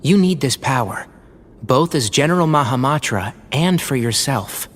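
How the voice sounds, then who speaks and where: A man's voice: medium pitch, expressive, moderate pace, slight background noise, natural voice. A man voicing a loyal, thoughtful young companion in a video game, earnest and conversational. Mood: earnest, supportive.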